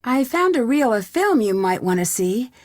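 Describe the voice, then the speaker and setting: A young American woman voicing an earnest, curious and polite amateur detective in an adventure game, with clearly enunciated lines. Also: on the high side, sing-song, normal speed, no echo, clean studio sound. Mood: probing. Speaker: voice actor for a game's detective heroine. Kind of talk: scripted adventure game dialogue.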